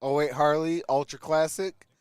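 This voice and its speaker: Male livestream football host, high clear voice, calls plays in short unhurried phrases, dry close sound. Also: steady tone, darkish tone, dry recording. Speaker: sports livestream host calling play-by-play. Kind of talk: live football commentary with casual chat.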